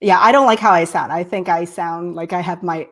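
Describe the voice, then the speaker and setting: A woman's voice: fairly low pitch, brisk, fairly bright tone. A woman from Texas hosting a live-streamed interview, a bit nasal, enthusiastic and full of "right?". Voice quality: slightly nasal. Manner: enthusiastic, many "right?" and "I love it". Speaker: host.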